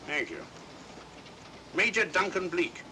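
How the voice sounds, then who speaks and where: An actor playing a self-important, brisk English police inspector in 1940s film style. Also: male voice, high-pitched, animated, sharp tone, full character voice. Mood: officious. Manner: brisk, officious. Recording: noisy old 1940s film soundtrack.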